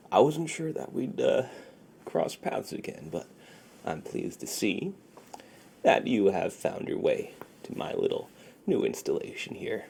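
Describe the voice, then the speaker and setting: A man doing a soft-spoken ASMR roleplay as a shady, friendly underground dealer, with slow folksy patter that often drops to a near-whisper. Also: slight background noise. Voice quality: gravelly, low, often breaking into near-whisper.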